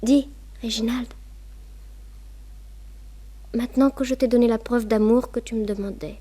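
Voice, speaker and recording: A trusting young society lady in a radio melodrama. Her voice is fairly high yet warm and mellow. She speaks tenderly and emotionally, as one hopelessly in love. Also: fairly even intonation, unhurried, slightly rough. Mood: adoring, pleading. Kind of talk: French radio play acting.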